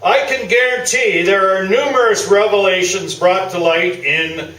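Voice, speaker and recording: A man's voice: high voice, measured pace, bright, forceful tone. Guy acting a boastful pompous newspaper columnist in a stage radio play, echoey room, some noise. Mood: grandiose. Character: pompous, self-important veteran male newspaper sports columnist. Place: small theatre, live performance. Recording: roomy, distant stage recording with noise.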